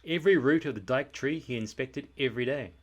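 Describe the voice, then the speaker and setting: A male storyteller with a medium-pitched voice reads a children's book aloud. His narration is expressive and scripted, leaning on key words with deliberate, dramatic emphasis.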